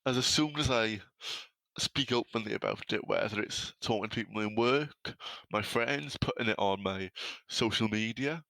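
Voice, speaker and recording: Young welsh guy who stammers a bit, bright voice, mid pitch, dry close sound. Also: normal speed, vocal fry, no echo, low-quality recording. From South Wales. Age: young adult. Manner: stammers on some words, earnest and reflective. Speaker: guest. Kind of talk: podcast interview.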